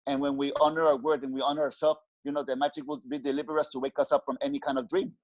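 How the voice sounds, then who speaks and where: A man's voice: mid-range voice, flat delivery, racing. A middle-aged man from Mexico, a spiritual teacher speaking earnestly as an interview guest on a headset in a small home room, often restarting his words. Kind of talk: reflective interview talk. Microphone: headset on video call. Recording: dull lo-fi call audio.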